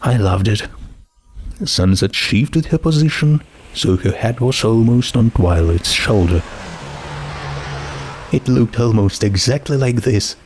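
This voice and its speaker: A man's voice: medium pitch, animated, measured pace, a little mellow, a little hiss or hum. A man reading a scripted story as its narrator. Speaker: narrator of fan fiction audio readings.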